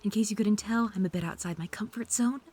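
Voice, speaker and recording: A woman's voice: medium pitch, fast talker, darkish tone, husky, close to an everyday voice. A woman playing a snarky, defensive female hacker under interrogation in an audio drama, sarcastic and indignant. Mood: flustered, defensive.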